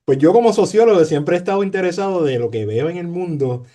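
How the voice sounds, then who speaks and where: Man, Puerto Rican accent, sociologist guest, halting and filler-heavy, warm dark tone. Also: high-pitched, animated. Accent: Puerto Rican Spanish. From Puerto Rico. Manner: hesitant, many fillers and repeated words, tag questions. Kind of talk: conversational interview.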